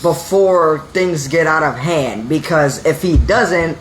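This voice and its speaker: A man's voice: fairly high pitch, slow, crowd noise. A young man co-hosting a casual wrestling podcast, talking in a loose, rambling way full of "like" and "you know.". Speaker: podcast co-host. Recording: echoey room with faint hum.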